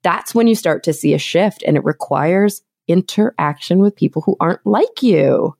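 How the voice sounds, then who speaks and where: A woman's voice: on the low side, lively intonation, normal speed, vocal fry, a little mellow, no echo, studio quality. A woman from Texas hosting a podcast, talking through long, thoughtful points in casual conversation. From Texas, USA. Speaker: podcast host. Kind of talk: casual interview chat.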